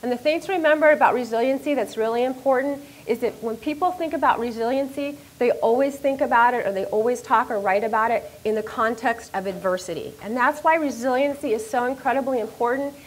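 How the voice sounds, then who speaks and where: A middle-aged woman, a university professor, giving an animated, self-deprecating lecture to an audience on a microphone in a lecture room. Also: fairly high pitch, fast, hardly any pauses, low-quality recording. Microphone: handheld or stand microphone.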